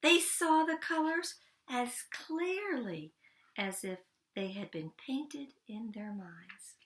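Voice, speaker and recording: A woman narrating a children's storybook, with deliberate, expressive phrasing and pauses between phrases. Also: a little high.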